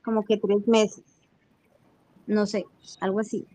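A woman's voice: medium pitch, flat delivery, takes it slowly, dark tone. A woman, asking for advice as a caller in spontaneous speech. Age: 40s. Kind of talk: spontaneous question and answer.

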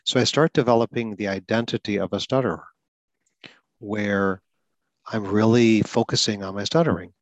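Low-voiced earnest man, dry close mic. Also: steady tone, moderate pace, dry recording, poor audio. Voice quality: person who stutters. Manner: earnest, explanatory, occasional repetitions. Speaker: podcast host. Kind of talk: advocacy talk about stuttering.

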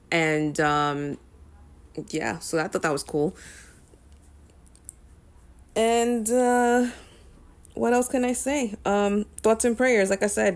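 A young woman talking casually to camera as a music vlogger, rambling with frequent ums and uhs. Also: lowish voice.

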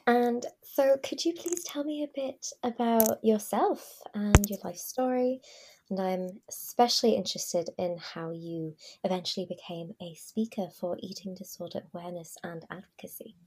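A woman hosting an interview, warmly encouraging her guest and affirming with frequent small responses. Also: medium pitch, moderate pace, slight background noise, poor audio. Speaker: podcast host.

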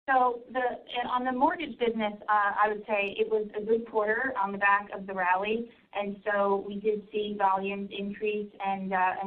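A woman executive presenting company financial results on an earnings call in a formal, measured corporate manner. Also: medium pitch, narrow, tinny audio. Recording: distant, band-limited conference call line.

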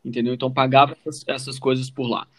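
A man's voice: medium pitch, flat delivery, quick pace, a bit bright. A man with a Brazilian Portuguese accent telling his own story in a casual, informal way as a podcast co-host, often adding little check-in questions.

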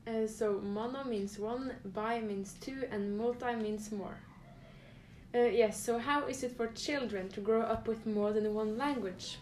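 A woman's voice: on the high side, fairly even intonation, unhurried, a little mellow, a little hiss or hum, low-quality recording. A young woman from Norway co-hosting a student podcast, reading her lines from a script. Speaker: podcast co-host. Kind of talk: student podcast, partly read.

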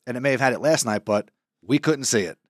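A man's voice: mid-range voice, fast, dry recording. Easygoing adult man co-hosting, dry sound. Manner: easygoing, keeps the show moving. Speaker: teacher co-host of a school morning show. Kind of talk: casual studio chat.

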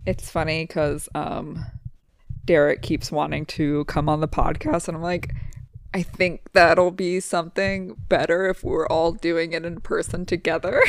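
A woman co-host talks in a relaxed, easygoing way in a slightly low voice. She often breaks into laughter, and the sound is dry and close. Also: unhurried, no echo. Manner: laid-back, laughs often. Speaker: podcast co-host. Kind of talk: casual chat.

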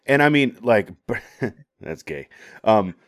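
A man's voice: lowish voice, big pitch swings, takes it slowly, a bit bright, no room sound, clean studio sound. A man co-hosting a podcast who talks casually, swears, and mostly agrees, with a stuffed-up nose. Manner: laid-back, profane, agreeing.

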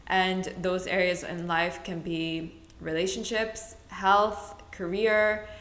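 Woman from the middle east chatting on her podcast, lowish voice, rambles and fixes herself a lot. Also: steady tone, slow pace, fairly bright tone. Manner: chatty, rambling, self-correcting. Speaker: podcast host. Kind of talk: casual solo podcast chat. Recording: roomy echo.

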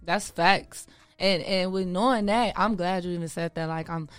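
A woman interviewing in a casual, slangy way, agreeable and quick to affirm what she hears. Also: lowish voice, clear, resonant tone, no echo, soft background music.

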